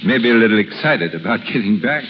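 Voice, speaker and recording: A man's voice: lowish voice, expressive, fairly quick, fairly bright tone. An actor playing a devoted, eager wealthy businessman in love, effusive and adoring, in 1930s radio drama style. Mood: attentive, adoring. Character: devoted, eager shipping magnate, newly divorced man. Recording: noisy 1930s radio recording.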